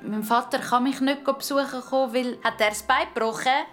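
A woman's voice: high voice, fairly even intonation, a little fast, faint music behind, pitched above the performer's own voice, close to an everyday voice. A woman voicing a cheeky, curious young boy with a Swiss German accent in a children's audio story. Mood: pestering, curious. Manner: inquisitive, pestering. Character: young boy Musa, nosy, cheeky, blunt friend.

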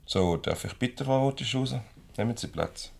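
An actor with a Swiss accent playing a courteous, formal company director in a radio comedy. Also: male voice, mid-range voice, lively intonation, normal speed, gravelly, slight background noise.

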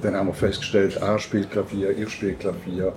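Man from Switzerland, very low voice, casual reminiscing, interviewer, clear cutlery and dish clatter. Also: level tone, a little fast, background noise. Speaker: interviewer and conversation partner. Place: at a table with food being served.

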